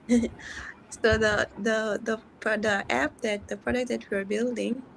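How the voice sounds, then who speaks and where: A woman from Tanzania with a Tanzanian accent, a doctor explaining her app on a video call, often restarting words and slowing on key points. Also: a little high, fairly even intonation, gravelly, fairly bright tone, a little hiss or hum, poor audio. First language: Swahili. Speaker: doctor pitching a health app. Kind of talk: video call mentoring session.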